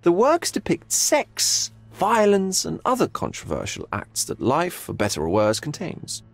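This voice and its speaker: An actor playing a cultured, philosophical gallery owner in a video game, pompous and languid, with dramatic pauses. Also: male voice, sits high, wildly swooping pitch, vocal fry, exaggerated voice.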